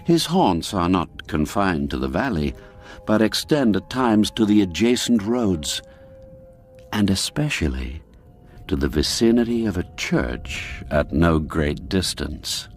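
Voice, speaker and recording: A man with a very low voice reads a classic tale at an unhurried pace. His delivery is measured and deliberate, and his pitch rises and falls widely from phrase to phrase. Faint music plays quietly behind him. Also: sing-song. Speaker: narrator. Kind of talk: scripted radio story narration. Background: faint background music.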